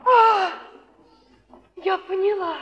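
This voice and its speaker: A woman's voice: very high voice, drawn-out words, exaggerated voice. An actress playing an injured, hysterical young woman who accuses in anguished, sobbing stage declamation. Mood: anguished accusing. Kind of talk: theatrical stage acting. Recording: muffled old stage play recording.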